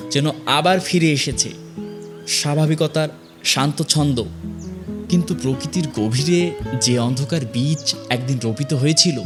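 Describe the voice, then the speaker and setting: A man narrating a scripted horror story dramatically, in measured, suspenseful phrases with pauses. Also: fairly high pitch.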